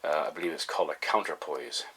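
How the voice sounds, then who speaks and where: A man giving a casual, halting explanation as a hobbyist vlogger, folksy and thinking aloud. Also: a bass voice, a bit bright, slightly rough.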